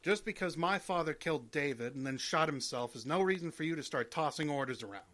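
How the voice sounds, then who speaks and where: An actor playing a touchy, defiant, money-minded son in a radio detective play, combative and prickly. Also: male voice, a little high, animated, fairly quick, a bit bright. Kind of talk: old-time radio detective play acting.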